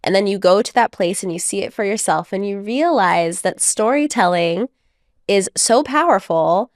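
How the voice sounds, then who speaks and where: A married mother and podcast guest, a woman speaking in a reflective, measured way, telling stories with light laughs. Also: mid-range voice, expressive, no echo, studio quality. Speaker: guest author. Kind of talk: conversational podcast interview.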